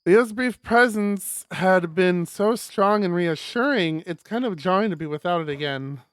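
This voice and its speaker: A man streaming a live read-aloud of a visual novel, expressive, with stumbles and casual asides. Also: sits high, a little slow, clean tone, no room sound, studio quality. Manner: expressive, stumbles over words while reading.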